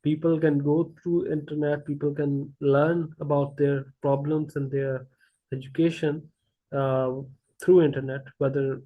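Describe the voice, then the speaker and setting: A man speaking as a panelist in an online discussion, halting with many fillers. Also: a little high. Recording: tinny phone-like line.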